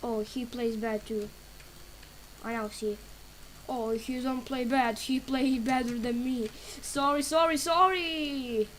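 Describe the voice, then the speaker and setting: Woman, English, fairly high voice, very slow halting delivery, casual game commentary, faint background noise. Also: rough recording. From Serbia. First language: Serbian. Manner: halting, broken English with many pauses and fillers. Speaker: gaming channel creator.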